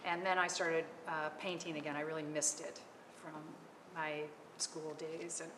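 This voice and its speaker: A middle-aged woman with a low voice speaks hesitantly, filling her pauses with ums. She is on a live panel in an art gallery, and her voice rings with a long reverb over a clear hum of noise. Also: little pitch movement. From California. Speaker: panel artist. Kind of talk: unscripted panel talk.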